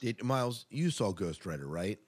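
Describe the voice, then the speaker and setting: A man with a fairly low voice hosts a casual entertainment podcast. He moves through news items in a conversational way, offering his take and inviting his co-host to respond. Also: lively intonation, normal speed. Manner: conversational, tosses questions to co-host. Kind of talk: casual movie news chat.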